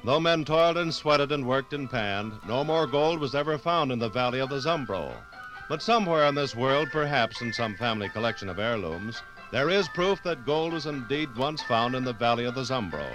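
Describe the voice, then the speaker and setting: A man reading scripted 1950s radio narration like a storyteller, with dramatic pauses. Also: medium pitch, lively intonation, over music, poor audio.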